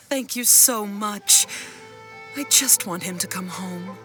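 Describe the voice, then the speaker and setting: A woman playing a worried, gentle but firm middle-aged wife and mother in a video game, speaking in an earnest, measured way. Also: mid-range voice, music in the background, natural voice. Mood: anxious, hopeful.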